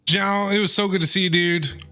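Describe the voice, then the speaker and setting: A woman's voice: mid-range voice, fairly even intonation, normal speed, bright, forceful tone. A woman giving casual, reactive commentary while streaming a game. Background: game music.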